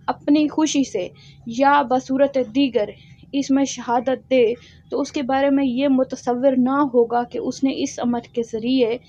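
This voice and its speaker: A woman with a Pakistani accent delivers an instructional lecture at a slow, deliberate pace. Her voice sits fairly high yet has a soft, mellow tone. The recording is dull and lo-fi. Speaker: law lecturer. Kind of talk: instructional lecture alternating explanation and read-out text. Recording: dull lo-fi sound.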